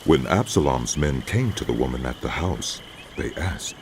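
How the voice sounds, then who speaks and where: A man giving solemn, measured dramatic narration of scripture as a scripted audio production. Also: rumbling low, lively intonation, slight background noise.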